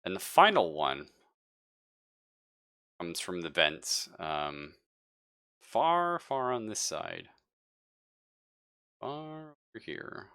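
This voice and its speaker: A man improvising narration as game master of a tabletop game, telling it haltingly and with suspense. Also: deep voice, big pitch swings, pushed and bright, smooth.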